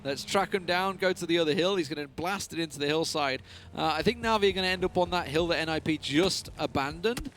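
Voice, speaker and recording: A man's voice: fairly high pitch, fast talker, hardly any pauses, fairly bright tone, a little hiss or hum. A man casting a live esports match on a headset, chatty and analytical, and he laughs easily. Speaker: esports caster.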